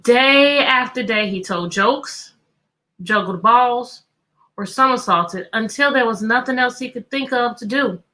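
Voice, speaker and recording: A woman's voice: fairly high pitch, a little slow, pushed and bright. A woman teacher hosting an interactive children's read-aloud, telling the story expressively and putting repeated questions to her listeners.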